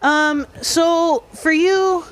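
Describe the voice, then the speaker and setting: A woman's voice: very high voice, steady tone, very slow. A young woman hosting a casual podcast interview, prompting her guests with friendly questions and frequent okays. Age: young adult. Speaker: podcast host.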